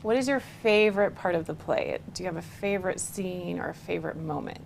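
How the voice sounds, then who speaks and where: A woman's voice: fairly low pitch, a little fast, creaky. A woman hosting a conversational TV interview, putting questions to her guests. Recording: noticeable background noise.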